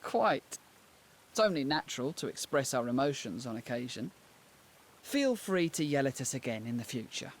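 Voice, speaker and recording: A man's voice: mid-range voice, big pitch swings, moderate pace, slight background noise. A man voicing a polite, supportive warrior companion in a video game, with courteous phrasing. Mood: gentle, reassuring. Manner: courteous, well-mannered phrasing. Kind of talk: video game cutscene acting.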